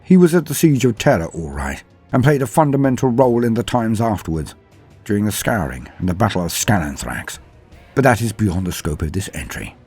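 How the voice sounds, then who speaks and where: Deep, dark-voiced man narrating gravely. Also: very animated, fairly quick. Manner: grave, dramatic storytelling. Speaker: host narrator of a lore channel. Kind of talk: scripted lore narration. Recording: faint music behind. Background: faint music.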